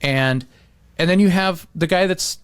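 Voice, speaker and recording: A man's voice: high voice, unhurried, clear, resonant tone, no room sound, clean studio sound. A man talking casually and with opinions, in the manner of a podcast host.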